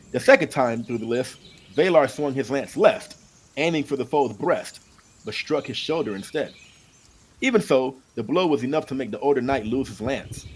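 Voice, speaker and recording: A man narrating an audiobook in an expressive, amateur storytelling style. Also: mid-range voice, slight background noise.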